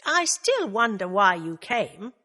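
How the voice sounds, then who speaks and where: Woman playing a brisk, prickly middle-aged woman. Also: medium pitch, very animated, sharp tone, full character voice. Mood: determined. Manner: brisk, curt.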